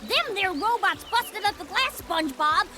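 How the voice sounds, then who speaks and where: Feisty female squirrel, Southern US drawl, extremely high, animated, cartoon game, loud music behind. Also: moderate pace. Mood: scolding. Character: bossy, fired-up young female squirrel, folksy. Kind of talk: cartoon video game voice acting.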